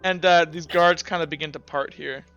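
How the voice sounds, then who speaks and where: Casting: male game master, high bright voice, casual halting improvised narration for a tabletop game. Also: steady tone, lo-fi sound, soft background music. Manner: halting, casual, full of "like" and "kinda".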